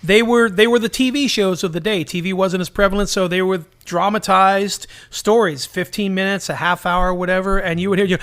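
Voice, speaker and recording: A man co-hosting a podcast, chatting casually and enthusiastically in an animated, joking way. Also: sits high, brisk, few pauses. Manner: animated, joking, slips into mock announcer lines. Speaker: podcast co-host.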